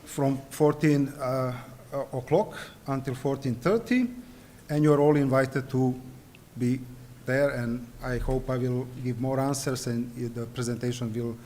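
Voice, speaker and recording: A man whose first language is Serbian gives an invited conference lecture, halting with many fillers and restarts. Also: medium pitch, fairly even intonation, warm tone, slight background noise. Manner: hesitant, frequent fillers and restarts. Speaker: conference presenter. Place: conference hall.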